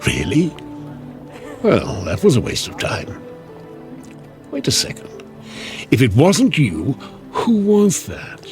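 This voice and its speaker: An actor playing a sardonic, chatty devil in an audio drama, theatrical and mocking, with a husky voice. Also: male voice, medium pitch, wildly swooping pitch, takes it slowly, long pauses, music in the background. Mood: breezy, needling. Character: sardonic, wheedling male devil, casual and mocking.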